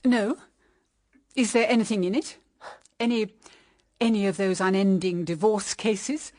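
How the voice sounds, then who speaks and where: A woman's voice: medium pitch, steady tone, a little mellow, lightly acted. Actress as an anxious older British mother-in-law. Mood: anxious, probing. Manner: earnest, halting, solemnly theatrical. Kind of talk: period radio drama acting.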